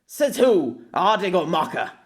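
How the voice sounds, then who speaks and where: A man playing a rugged, stubborn old rogue swordsman who talks gruffly. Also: high-pitched, sing-song, measured pace, pitched above the performer's own voice. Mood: defiant.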